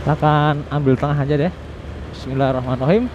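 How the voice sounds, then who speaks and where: A man giving casual, unhurried riding commentary as a motovlogger, with little asides to himself and his viewers. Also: a little high. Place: outdoors, riding a motorbike through city streets. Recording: dull lo-fi audio with clear traffic noise. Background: vehicle engines and street traffic noise.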